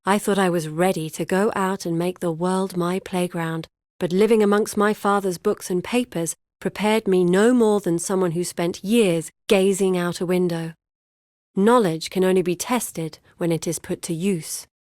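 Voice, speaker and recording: An actress playing a scholarly, driven young woman researcher in a fantasy video game, speaking in an articulate, measured and earnest way. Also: on the low side, fairly even intonation, a little mellow, no room sound, clean studio sound, lightly acted. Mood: reflective, confiding.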